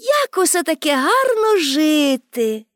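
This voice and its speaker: A woman's voice: high voice, sing-song, slow pace, no room sound, clean studio sound, pitched above the performer's own voice, full character voice. A woman voicing a carefree, cheeky nine-year-old girl in a storybook, proud and playful in manner. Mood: delighted. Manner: playful, breezy, emphatic.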